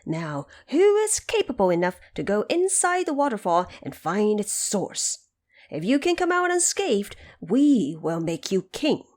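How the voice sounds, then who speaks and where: A woman's voice: medium pitch, very animated, a little slow, darkish tone. A woman voicing curious, playful monkeys in an audio drama storybook, with eager questions and exclamations. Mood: admiring, challenging.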